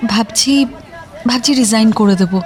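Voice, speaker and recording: A young woman acting in an audio drama as a worried, wistful sister, confiding in a halting, emotional way. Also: a little high, a little mellow, a faint crowd, natural voice. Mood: worried, hesitant. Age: young adult. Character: worried, wistful young working woman devoted to her ailing brother. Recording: music bed under the voice.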